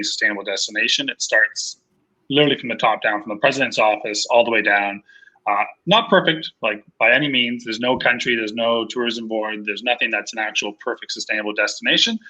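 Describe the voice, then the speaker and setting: A man from Costa Rica speaks as a guest in a loose panel discussion. His voice is fairly low and bright, with plenty of fillers as he works through his thoughts. It sounds like a video call. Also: poor audio. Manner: relaxed, hesitant with many fillers.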